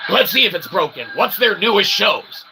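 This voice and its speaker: A man's voice: sits high, sing-song, normal speed, vocal fry, background noise. A man delivering an unscripted, profane opinion rant in an agitated, wordy, emphatic manner. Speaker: opinion vlogger.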